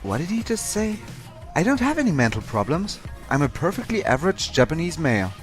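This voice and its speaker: A man's voice: fairly high pitch, sing-song, fairly quick, soft background music. A man voicing an angsty, average teenage schoolboy, narrating a visual novel parody with mock-dramatic earnestness. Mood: defensive.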